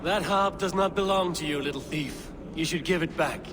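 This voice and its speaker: A man plays a confident Norse warrior god from a fantasy game. His delivery is formal and commanding, pitched a little high. Outdoor ambience is clearly heard behind. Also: normal speed, noisy background, lightly acted. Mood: challenging. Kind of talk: video game voice acting.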